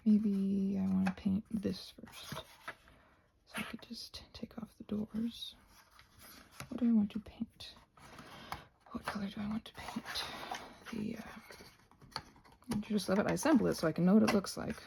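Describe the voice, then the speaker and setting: A woman's voice: medium pitch, steady tone, unhurried, creaky, long pauses, noisy background. A North American woman chatting casually while crafting, playful and scatterbrained, thinking aloud and often trailing off into murmurs. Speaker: craft and ASMR vlogger.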